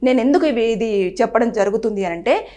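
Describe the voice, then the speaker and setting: Fast-talking high-voiced woman presenter. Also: animated, mellow. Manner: earnest, advising, repeats phrases and acts out quoted speech. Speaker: news presenter. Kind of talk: explainer to camera on a legal topic.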